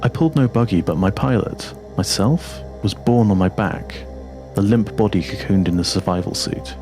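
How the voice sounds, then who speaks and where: A man reading a scripted dark science fiction story as its narrator, in a measured, deliberate literary way. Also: on the low side. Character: introspective four-legged machine with a human pilot's mind. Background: music under the voice.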